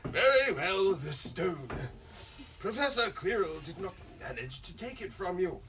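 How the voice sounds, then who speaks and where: Casting: twinkling old headmaster wizard male actor, high-pitched, very lively intonation, genteel, heard from a distant mic. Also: heavily acted. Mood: amused. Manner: genteel, amused, deliberate. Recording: distant mic, faint room noise.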